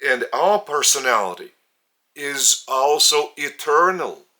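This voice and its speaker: A man with a Lithuanian accent, a native Lithuanian speaker, giving a spiritual teaching from home in a slow, deliberate, earnest way with many pauses. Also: a little high, expressive, bright, forceful tone, low-quality recording. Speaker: religious teacher. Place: indoors at home.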